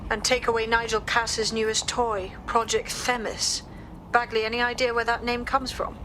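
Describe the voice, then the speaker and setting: A woman's voice: a little high, flat delivery, moderate pace, pushed and bright, a little hiss or hum, rough recording. A woman playing a determined resistance operative in a video game. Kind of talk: scripted video game dialogue.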